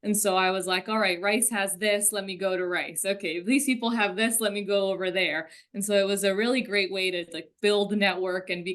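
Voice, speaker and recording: A woman's voice: medium pitch, a bit bright. Conversational woman from Colombia. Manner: conversational, storytelling with asides. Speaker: career panelist. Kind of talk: panel talk.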